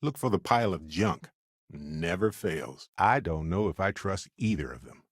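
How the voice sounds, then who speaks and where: Wry video game space explorer; lowish register, lively expressive inflection, conversational, big-hearted. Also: male voice, normal speed, no room sound, clean studio sound. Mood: thoughtful, conversational.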